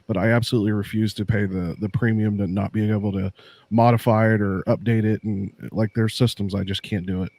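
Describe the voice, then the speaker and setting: Casual male talker, dry close sound, low-ish voice, rambling tech explainer. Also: flat delivery, brisk, darkish tone, no echo. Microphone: desk mic through a mixer.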